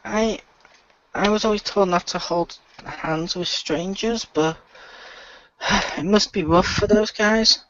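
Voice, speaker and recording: A woman's voice: medium pitch, slow, pauses often, fairly bright tone, no room sound. A woman playing an eager, naive, friendly young adventurer in an improvised radio play, childlike and hesitant. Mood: curious, hesitant. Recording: dull lo-fi voice recording.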